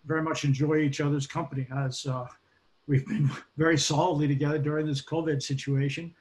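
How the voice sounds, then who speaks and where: An older man with a Boston accent speaks in a slightly raised register. He talks in a measured, hesitant way, full of ums and restarts. He comes across as an interview guest telling his story. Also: a little high, level tone, darkish tone. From Boston, United States. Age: 60s. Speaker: guest, occupational safety expert. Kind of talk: casual interview.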